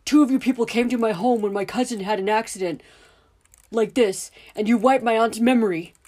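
A woman voicing an angry, protective middle-aged father who shouts defiantly. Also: medium pitch, fairly even intonation, moderate pace, slightly rough, close to an everyday voice. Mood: furious.

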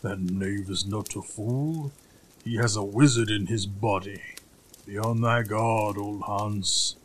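Man playing a slow, booming storybook giant. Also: steady tone, slight background noise. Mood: alarmed, gruff. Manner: slow, ponderous, archaic speech. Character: huge, dim-witted, fearful male giant, booming and gruff.

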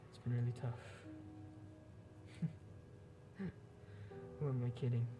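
A man's voice: mid-range voice, steady tone, fairly quick, loud music behind the voice, natural voice. A man playing an anxious, grieving young prince in an audio drama. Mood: sad, wistful.

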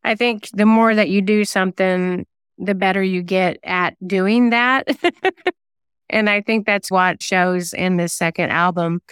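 A woman's voice: medium pitch, fairly even intonation, measured pace, a bit bright, clear, resonant tone, no room sound, clean studio sound. A woman giving conversational interview answers in a chatty, self-deprecating way. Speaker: guest singer-songwriter and novelist.

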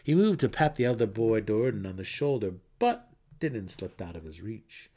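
Gravelly, expressive man narrating fantasy. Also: fairly low pitch, moderate pace. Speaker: audiobook narrator. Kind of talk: dramatic scripted narration of fantasy novel.

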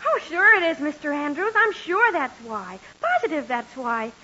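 A woman's voice: sits high, very animated, moderate pace, pushed and bright, heavily acted. An actress playing a perky, helpful, apologetic teenage girl in 1940s radio comedy, chirpy and earnest. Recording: muffled old 1940s radio recording.

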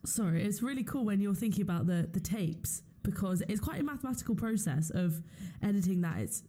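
Female interviewer, soft mellow tone, mid pitch, casual conversational hosting. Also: expressive, a little fast. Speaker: interviewer, host.

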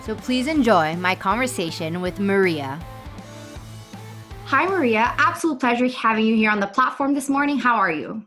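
A woman's voice: on the high side, expressive, moderate pace, fairly bright tone. A woman hosting a podcast, reading a guest introduction and then asking questions in a polite, affirming way. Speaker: podcast host. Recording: faint music behind.

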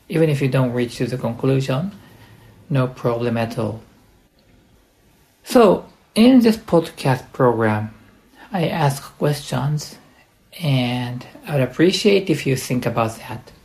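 A man with a Japanese accent, a coach hosting an instructional podcast, speaking deliberately in short, halting phrases. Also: mid-range voice, vocal fry, a little mellow, poor audio. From Japan, partly raised in the United States.

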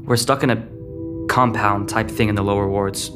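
A man's voice: fairly low pitch, steady tone, normal speed, music in the background, natural voice. A man playing a troubled, short-tempered but protective teenage boy in a sci-fi audio drama, guarded and terse. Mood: guarded, explaining.

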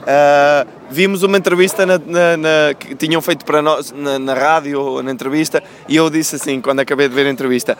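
A man's voice: on the high side, fairly even intonation, brisk, few pauses, a little hiss or hum. A man from Venezuela, a band member being interviewed outdoors, talking animatedly and restarting his words often. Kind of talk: casual interview chat.

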